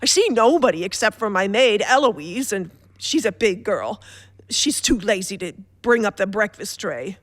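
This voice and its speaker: A woman's voice: mid-range voice, expressive, no room sound, studio quality, natural voice. A woman playing an anxious, demanding bedridden wife in a suspense radio play, fretful, imperious and theatrical in manner. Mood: flustered, fearful. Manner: fretful, imperious, theatrical, halting then insistent.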